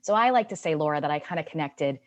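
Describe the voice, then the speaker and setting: A woman's voice: sits low, expressive, quick pace, dull audio. A woman in her thirties speaking on a webinar panel as a founder, telling her career story in an earnest way with long connected sentences. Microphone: video call.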